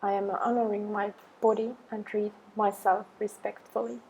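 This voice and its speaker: A woman leading a guided affirmation meditation, speaking in slow, measured phrases with long pauses. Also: medium pitch, flat delivery, vocal fry, darkish tone, a little hiss or hum, rough recording.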